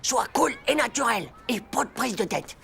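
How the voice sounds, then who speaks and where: A woman voicing an excitable, lovesick cartoon schoolboy, theatrical, swinging between swagger and whining. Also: fairly high pitch, normal speed, vocal fry, a little hiss or hum, heavily acted. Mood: trying to be cool. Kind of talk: French animated series acting. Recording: loud music under the voice.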